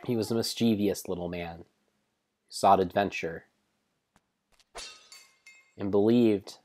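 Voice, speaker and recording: A man reading mock-dramatic storybook narration of a game, in a theatrical, solemn way with deliberate pauses. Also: fairly low pitch, warm tone. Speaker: live streamer narrating a game.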